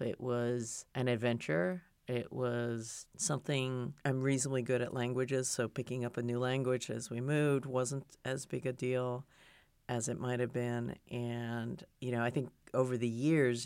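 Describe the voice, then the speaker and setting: A woman's voice: rumbling low, clear, resonant tone, no room sound, clean studio sound. A middle-aged woman from Japan, a foundation president, talking in a thoughtful, conversational way with plenty of fillers as an interview guest. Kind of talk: casual interview talk.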